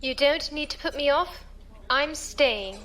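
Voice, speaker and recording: A woman's voice: high-pitched, a little slow, pushed and bright, slight background noise. An actress playing an earnest, tender-hearted female passenger among space pirates in a science fiction audio drama. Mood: earnest, determined.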